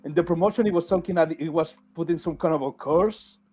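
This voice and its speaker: Slightly high-voiced man telling a story. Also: fast talker, warm tone. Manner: loose, meandering storytelling. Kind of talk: casual boxing talk.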